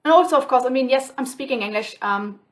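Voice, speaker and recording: Chatty woman, slightly high voice. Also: expressive, fast. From Switzerland. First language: Swiss German. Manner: chatty, reflective, many ums and restarts. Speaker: flosstube host. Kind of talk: casual rambling chat to camera. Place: home, alone.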